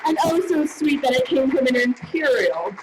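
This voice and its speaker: A woman's voice: sits high, lively intonation, moderate pace, a crowd in the background, noisy background. A woman co-presenting awards live on stage in a playful, chatty, conversational way.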